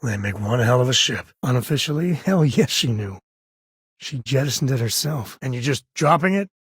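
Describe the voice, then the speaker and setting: An actor playing a rugged frontier space-cowboy companion in a video game, a wry, protective father with a husky American voice and a folksy, earnest way of talking. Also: mid-range voice, animated, moderate pace, no room sound. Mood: earnest, measured. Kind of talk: video game character voice acting.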